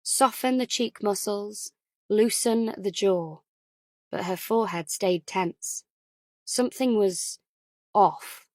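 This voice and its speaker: Audiobook narrator: woman, slow scripted suspense read, dry studio-style sound. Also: mid-range voice, darkish tone, dry recording.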